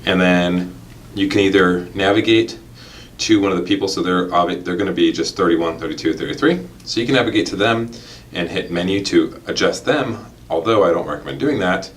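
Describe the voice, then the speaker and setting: A man's voice: low voice, fairly quick, a little hiss or hum. A man demonstrating a product in a casual, step-by-step tutorial, explaining informally and talking straight to the viewers.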